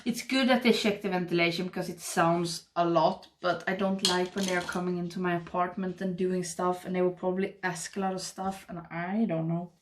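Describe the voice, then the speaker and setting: A woman in her thirties with a Swedish accent, chatting and murmuring softly to the camera while doing her makeup at home. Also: fairly low pitch, little pitch movement, a little fast, few pauses, slight background noise. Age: 30s. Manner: soft, rambling, murmuring while applying makeup. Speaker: beauty vlogger. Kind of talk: casual get-ready-with-me chat. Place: home makeup room, indoors. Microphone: webcam framing at desk.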